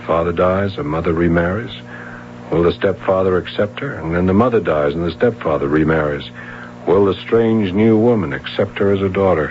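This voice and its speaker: A man playing a shrewd low-voiced police sergeant. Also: normal speed, natural voice. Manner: calm, probing, hesitant fillers. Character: shrewd, dry-humored male police sergeant. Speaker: police detective. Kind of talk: 1970s radio drama acting. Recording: dull old radio broadcast, faint hum.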